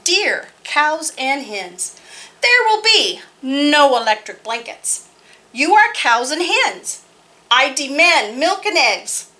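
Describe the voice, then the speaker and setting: A woman voicing a furious, stern farmer who demands milk and eggs, in a bright, slow read-aloud voice. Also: high-pitched, very animated, heavily acted.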